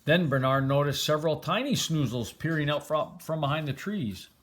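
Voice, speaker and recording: A man's voice: a little high, normal speed, a little hiss or hum. An older man reading a children's storybook aloud as a grandfather would, with expression.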